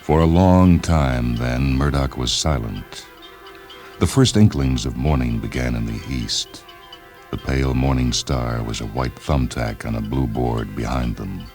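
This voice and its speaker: Man, exceptionally deep voice, scripted story narration, measured literary delivery, music in the background. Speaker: narrator of a short story series. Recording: music behind the voice.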